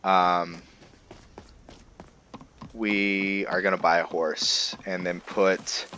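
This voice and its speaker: Guy doing chill game commentary, deep voice, slow, kinda talking to himself, bit of background noise. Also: little pitch movement, sharp tone. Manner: thinking aloud, musing to himself. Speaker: gameplay video host. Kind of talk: casual gameplay commentary.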